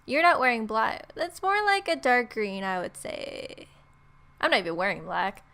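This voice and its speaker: A woman's voice: on the high side, big pitch swings, moderate pace, bright, forceful tone, clear, resonant tone. Woman playfully narrating a game. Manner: wry, playful asides. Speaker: gaming video host. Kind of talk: let's play, reading protagonist's lines and ad-libbing.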